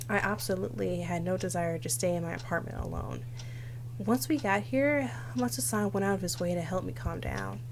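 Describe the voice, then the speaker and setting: A woman's voice: mid-range voice, gravelly, slight background noise. A young woman streamer reading visual novel text aloud dramatically, with casual asides. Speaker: game streamer.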